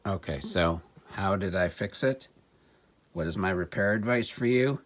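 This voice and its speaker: A man's voice: sits low, unhurried, very noisy. A man talking casually through a hands-on gadget demonstration, musing and thinking aloud. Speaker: vlogger demonstrating and repairing vintage electronics.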